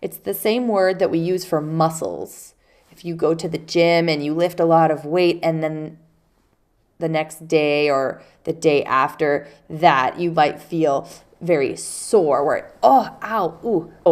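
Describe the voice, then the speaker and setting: Woman teacher with a cold, nasal and stuffed up, unhurried, lowish voice, patient explainer. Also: a little mellow. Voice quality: congested, nasal. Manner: patient, explains with spoken examples. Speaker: English teacher. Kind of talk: casual vocabulary lesson.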